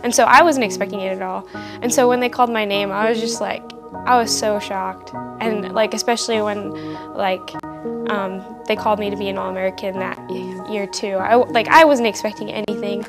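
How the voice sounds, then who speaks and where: A young adult woman giving a casual, halting interview as a college athlete, peppered with filler words. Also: mid-range voice, fairly even intonation, music in the background. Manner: halting, heavy use of "like". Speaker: college softball player.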